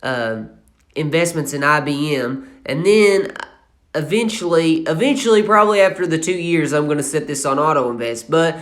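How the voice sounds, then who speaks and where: A man's voice: fairly high pitch, normal speed, fairly bright tone. A man of twenty with an Appalachian accent, hosting a casual finance vlog in a rambling way, with many fillers and self-corrections. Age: 20s. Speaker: channel host.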